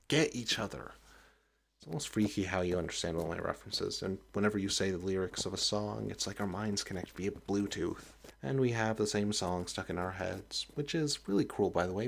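A man acts out a young, goofy college guy with golden-retriever sweetness in a romantic audio roleplay. His voice sits low and crackles with fry as he rambles expressively and obliviously. There is some noise behind the recording. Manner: chatty, expressive, boyish, rambling.

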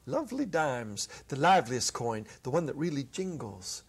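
Casting: middle-aged man as story narrator, raspy and gravelly, phrasing deliberately for dramatic effect. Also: mid-range voice, big pitch swings. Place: small indoor stage set. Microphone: clip-on lapel mic.

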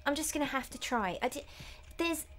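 A woman's voice: high voice, expressive, brisk. A middle-aged woman giving casual, nervous, rambling commentary on a horror game, sometimes dropping to a whisper. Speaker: game streamer and voice actress. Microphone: desk mic set slightly away from face.

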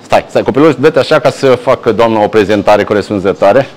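A middle-aged man reporting from inside a delicatessen, joking and teasing as he questions the staff. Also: lowish voice. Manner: jokey, teasing, animated. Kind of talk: lively walk-through interview in a shop. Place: small delicatessen shop indoors.

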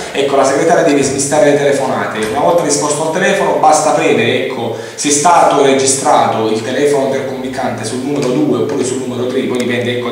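A man giving an unscripted product demonstration, explaining in a hesitant, repetitive way with frequent verbal tics. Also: mid-range voice. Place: indoor room, demonstrating at a tabletop. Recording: echoey room, distant mic, faint noise.

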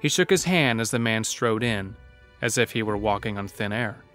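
A man's voice: fairly low pitch, lively intonation, normal speed, a bit bright, faint music behind. A man narrating a scripted horror story as the narrator of an audio drama.

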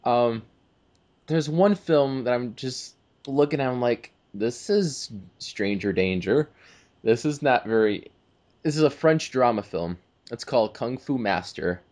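A man's voice: mid-range voice, a little mellow. A man co-hosting a casual discussion in a chatty, informal way. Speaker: podcast co-host. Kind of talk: casual film and game discussion.

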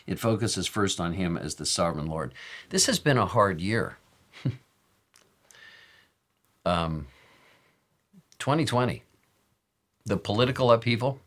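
An American man in his sixties with a slightly raspy voice, teaching as a pastor in an informal, earnest sermon style. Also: deep voice, a bit bright. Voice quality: a little raspy. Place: office or study with bookshelves, indoors.

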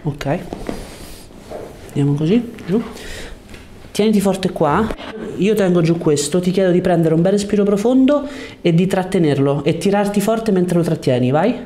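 A man's voice: sits high, animated, normal speed, dark tone. A man, a chiropractor coaching a patient in short, reassuring commands on a lapel mic in a small room. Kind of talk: calm hands-on instructions to a patient. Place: small treatment room indoors. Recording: noticeable room background noise.

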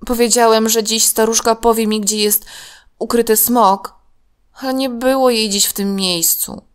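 A woman's voice: fairly high pitch, fairly even intonation, moderate pace, clean tone, voice pushed up, close to an everyday voice. A woman voicing an anxious, shy young boy in a children's story, earnest and hesitant.

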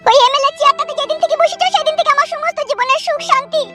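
A woman voicing an anxious, scolding mother and politician's wife in a comedy cartoon, shrill and accusing. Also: fairly even intonation, racing, sharp tone. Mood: angry, scolding. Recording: music behind the voice.

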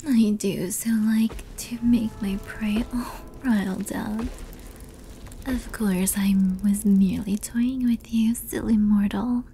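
Woman playing a slow, whispering seductive demoness. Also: medium pitch, fairly even intonation, dark tone, slight background noise. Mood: predatory, seductive. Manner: teasing, predatory, breathy whispering. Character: seductive, menacing female soul-eating demon, toying with prey. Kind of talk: whispered ASMR roleplay.

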